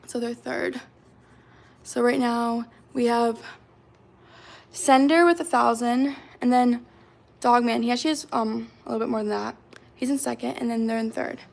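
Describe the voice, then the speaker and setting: A woman in her twenties with a raspy, slightly hoarse voice, chatting casually on a livestream, filling her talk with "like". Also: on the high side, steady tone, normal speed, long pauses. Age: 20s. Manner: chatty, lots of fillers like "like".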